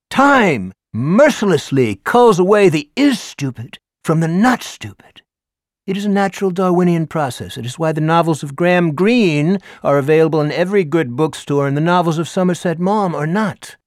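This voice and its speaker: A man voicing a theatrical, flamboyant high school English teacher who declaims and lectures with grand emphasis. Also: animated, normal speed, no room sound, clean studio sound, lightly acted. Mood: declaiming. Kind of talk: audiobook character voice.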